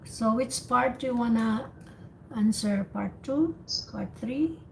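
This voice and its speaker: Slow, high-voiced woman teacher with creaky edge. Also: darkish tone, poor audio. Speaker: host and English speaking teacher. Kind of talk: online speaking practice, questions and corrections. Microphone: online group call. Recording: faint background noise on online call.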